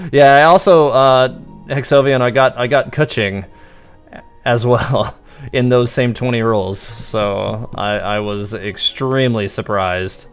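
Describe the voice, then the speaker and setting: A man livestreaming a video game with casual, rambling, halting commentary. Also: mid-range voice, clean tone, soft background music. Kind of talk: casual live gameplay commentary.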